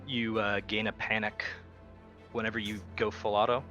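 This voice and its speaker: Low voiced guy playing an rpg, clear bright edge, chatting rules, music behind. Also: little pitch movement, moderate pace, highs cut off. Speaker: tabletop game player. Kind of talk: casual game talk.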